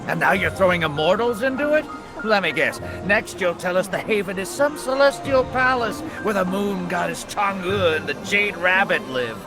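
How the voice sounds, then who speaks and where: An actor playing a scornful, blustering, world-weary old drinker in a video game. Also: male voice, high voice, expressive, moderate pace, rough recording. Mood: mocking. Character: scoffing, world-weary male teahouse regular, old drinker. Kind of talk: dramatic video game dialogue. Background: music.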